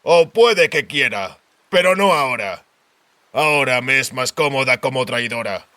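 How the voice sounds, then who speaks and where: A man's voice: medium pitch, expressive, unhurried, bright, forceful tone. A man voicing a cynical, stubborn royal spymaster in an audiobook, with terse, blunt delivery. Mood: guarded. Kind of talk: audiobook character dialogue.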